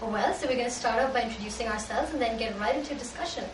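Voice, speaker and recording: A young woman from Pakistan moderating a casual student panel discussion with quick, leading questions. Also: on the high side. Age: young adult. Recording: roomy, distant mic with background noise.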